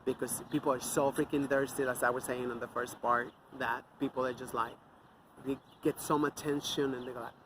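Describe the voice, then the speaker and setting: A man's voice: medium pitch, level tone, normal speed, background noise. Angry man ranting outdoors. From Mexico. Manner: angry, profane, scornful. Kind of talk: off-the-cuff ranting monologue.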